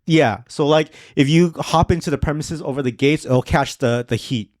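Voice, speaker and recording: A man's voice: on the high side, moderate pace, darkish tone, dry recording. Joking man on a podcast, dry close mic. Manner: loose and joking, trails off and restarts. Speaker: podcast co-host and realtor. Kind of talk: casual chat. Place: podcast studio.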